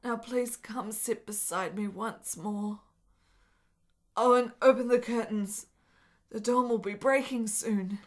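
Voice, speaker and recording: A woman's voice: on the high side, fairly even intonation, takes it slowly. An actress playing a frail, lovesick, melancholy woman in a period radio drama, speaking in earnest, melodramatic, formal phrases. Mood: gentle, hopeful.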